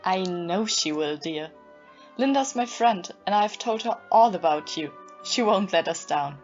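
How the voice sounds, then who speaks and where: A woman's voice: lowish voice, lively intonation, unhurried. A woman playing a giddy, devoted young fiancée in an audio play, gushing and affectionate. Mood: reassuring, fond. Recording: muffled audio, faint music behind.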